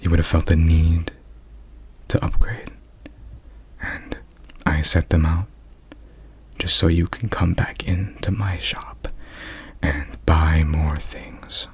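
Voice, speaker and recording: A man voicing a smugly manipulative arms dealer in an improvised ASMR story, confiding in a casual, drawn-out way. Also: very deep voice, little pitch movement, slightly rough, no echo, heavily acted. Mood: smug explaining.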